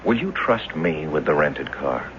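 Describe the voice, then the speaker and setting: A man's voice: extremely low pitch, very animated, measured pace, a bit bright, heavily acted. An actor in a 1970s radio drama playing an earnest, agitated ordinary man facing the supernatural, urgent and insistent. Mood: determined. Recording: dull, noisy 1970s radio recording.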